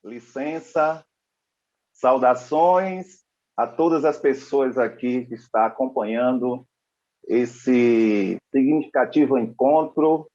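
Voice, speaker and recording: Man, panel moderator, measured formal speech, mid-pitched voice, slightly muffled audio. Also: darkish tone. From Salvador, Bahia, Brazil. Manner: measured, with frequent pauses and restarts. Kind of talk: formal seminar introduction.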